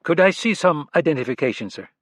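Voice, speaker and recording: Man doing a brisk female cop, firm, clipped, official, raised pitch, dry sound. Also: lively intonation, no echo. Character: brisk young woman posing as policewoman.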